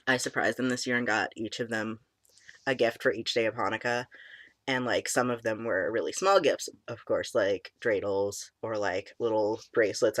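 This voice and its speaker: A young man in casual chat, his voice cracking and squeaky, deep and stuffy from a cold, rambling with many ums and likes. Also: fairly bright tone, no echo. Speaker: vlogger. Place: small room indoors.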